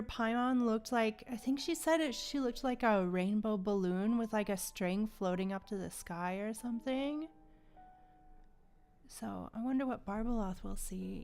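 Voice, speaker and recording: A woman's voice: on the high side, clear, resonant tone. A woman livestreaming a game with casual, playful commentary, chatting to viewers and reacting aloud to what happens.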